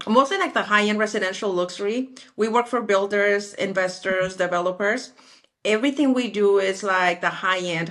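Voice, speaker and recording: A woman in her forties from Mexico, speaking as an interview guest, lively and acting out remembered conversations. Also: medium pitch. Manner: animated, acts out quoted dialogue. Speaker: guest, architectural designer.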